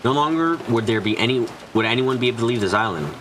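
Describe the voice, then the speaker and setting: A man's voice: mid-range voice, lively intonation, fast talker, fairly bright tone, slight background noise, low-quality recording. A man narrating a scripted story in a dramatic style for a visual novel fan dub. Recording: music behind the voice. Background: clear background music.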